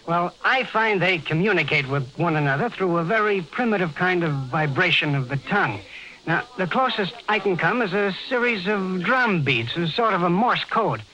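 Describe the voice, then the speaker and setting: A man's voice: high-pitched, lively intonation, normal speed, pushed and bright. A man playing a deferential, earnest expedition translator in a 1950s radio drama, speaking respectfully. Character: eager, deferential young expedition crewman and translator. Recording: dull vintage radio recording, music behind.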